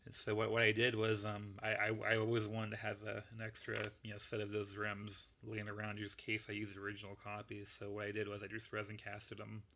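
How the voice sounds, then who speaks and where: A man with a gravelly voice that is going, casually rambling through a show-and-tell of a model build. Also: lowish voice, completely flat delivery, brisk, few pauses, bright, forceful tone, a little hiss or hum. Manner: rambling, laid-back, lots of fillers. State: losing his voice.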